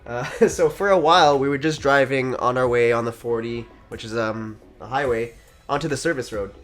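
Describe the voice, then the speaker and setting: A man's voice: medium pitch, moderate pace, fairly bright tone. Young adult male co-host, giggly, informal storyteller who swears freely, faint music underneath. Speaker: gaming channel co-host. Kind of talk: casual animated storytelling chat. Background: background music.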